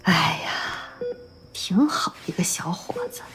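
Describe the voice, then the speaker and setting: A woman's voice: unhurried, in a whisper, close to an everyday voice. A woman voicing a kindly, talkative middle-aged caregiver in a radio drama, fussing over a patient. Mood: sorrowful. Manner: fussy, warm-hearted chatter. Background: music.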